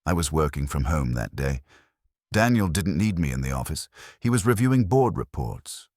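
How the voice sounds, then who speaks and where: A cool, guarded professional woman in a detective story, voiced by a man, speaking in a very deep register. The delivery is composed and precise, with lively pitch movement in a dry recording. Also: moderate pace, no room sound, exaggerated voice. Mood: composed, businesslike. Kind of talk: detective audiobook character dialogue.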